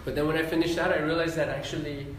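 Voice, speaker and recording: A man born in Iran and raised in Ohio, with a Midwestern accent, giving a relaxed, rambling interview answer full of fillers. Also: on the high side, rapid-fire, slight background noise. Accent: Midwestern American. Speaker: interview guest, doctor and psychologist. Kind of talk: casual conversational interview. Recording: very echoey room, mic at a distance.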